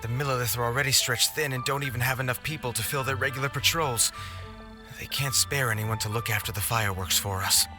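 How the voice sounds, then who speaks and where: A man's voice: medium pitch, fairly even intonation, a little fast, close to an everyday voice. A man voicing a worried, flustered fireworks organizer pleading for help in a game cutscene. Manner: worried, pleading, explaining at length. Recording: game audio with clear music. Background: game music.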